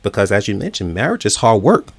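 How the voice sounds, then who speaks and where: A man's voice: fairly low pitch, very animated, fast talker, slightly rough, dry recording. A man hosting a relationship talk show, earnest and encouraging, using filler words as he talks.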